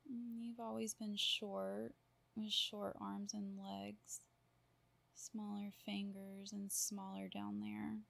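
A woman speaking in a soft, cooing half-whisper with drawn-out murmurs, in an intimate audio roleplay. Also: mid-range voice, level tone, creaky. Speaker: roleplay performer.

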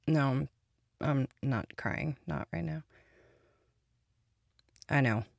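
A woman voicing a grieving young girlfriend who moves between bitter anger and soft whispers, speaking close to the microphone. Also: a bass voice, slow, gravelly, dry recording, heavily acted. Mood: weary. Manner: shifts between angry outbursts and soft whispers. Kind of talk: audio roleplay to a listener.

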